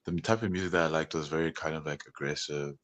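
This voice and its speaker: A man's voice: very deep voice, fairly even intonation, fast talker, fairly bright tone. A man being interviewed as a musician guest, talking in a meandering, hesitant way with many fillers. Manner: meandering, hesitant, full of "like" and "you know". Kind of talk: casual interview talk.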